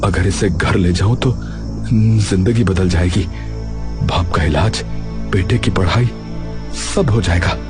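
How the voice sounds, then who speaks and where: Casting: man as poor, earnest, worried vendor for a moral story; deep, unhurried, hesitant, music underneath. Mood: awed, hesitant. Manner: hesitant, halting delivery. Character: honest, poor, anxious male potato-snack vendor. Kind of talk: dramatic storytelling dialogue. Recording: background music throughout.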